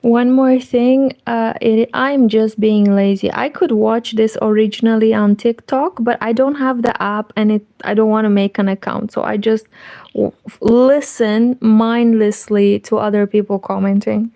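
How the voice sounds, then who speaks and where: A woman's voice: fairly high pitch, gravelly. A woman whose first language is Romanian, speaking English with a slight Russian accent, chatting casually and laughing while she crochets and reacts to videos. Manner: relaxed, laughs often, slow and unhurried. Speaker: crochet vlogger and conference interpreter.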